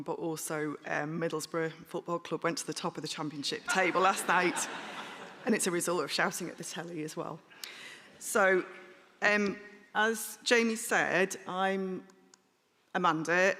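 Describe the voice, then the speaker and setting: Hoarse woman with a bright, tense voice. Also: low-pitched. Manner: friendly, chatty, with ums. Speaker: panel speaker. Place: conference hall. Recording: reverberant conference hall.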